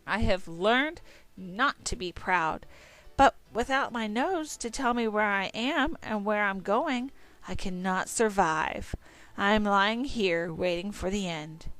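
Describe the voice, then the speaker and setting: A woman voicing a weak, humbled old male wolf in a storybook, read slowly and solemnly. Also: medium pitch, expressive, a bit bright, no echo, faint music behind.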